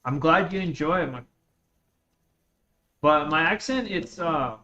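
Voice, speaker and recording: A man's voice: on the high side, animated, measured pace, sharp tone. A man with a slight Russian accent, chatting casually and in slang as he streams a game to viewers. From Russia, moved to the United States at eleven. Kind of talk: casual live-stream chat while gaming. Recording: dull lo-fi mic with faint noise.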